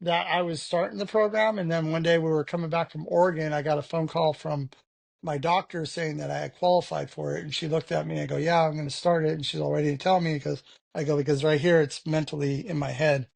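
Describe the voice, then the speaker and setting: A man's voice: on the high side, fairly even intonation, fairly quick, creaky, dry recording. A man talking frankly as an interview guest, rambling with lots of "you know" fillers. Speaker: podcast guest. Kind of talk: candid conversational interview.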